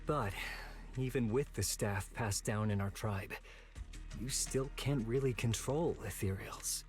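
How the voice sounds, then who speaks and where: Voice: mid-range voice, measured pace, close to an everyday voice. Voice actor playing candid night watcher, anime game character, casual, curious, inquisitive, music underneath. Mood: puzzled, serious. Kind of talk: anime-style video game voice acting. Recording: music behind the voice. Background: background music.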